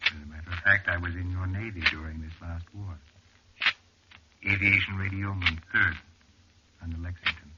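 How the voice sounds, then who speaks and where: Male actor as serene, enigmatic male guide from old radio horror; lowish voice, formal and unhurried, heavy noise, muffled sound. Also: fairly even intonation, lightly acted. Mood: patient, mysterious. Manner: formal, measured, polite, unhurried. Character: calm, courteous, mysterious male cliff-dweller guide. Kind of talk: 1940s radio horror acting. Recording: very noisy, muffled, clicky vintage radio recording.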